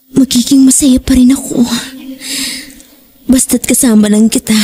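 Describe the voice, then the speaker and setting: A woman with a Filipino accent playing a clingy, devoted young girlfriend in an audio drama, with a breathy, plaintive delivery. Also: on the high side, mellow. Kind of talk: Tagalog audio drama acting.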